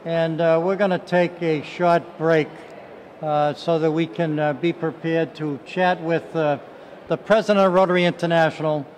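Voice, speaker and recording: Upbeat older Boston radio host. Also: male voice, fairly high pitch, steady tone, moderate pace, a little hiss or hum. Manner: upbeat announcer, many "uh" fillers. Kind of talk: live radio talk show. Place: conference hall, indoors. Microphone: desk microphone, headphones.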